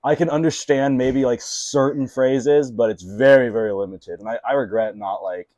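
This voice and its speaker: A man's voice: medium pitch, moderate pace, a little mellow, dry recording. A young man whose first language was Mandarin, hosting a casual interview chat and rambling with lots of fillers and restarts. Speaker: podcast host.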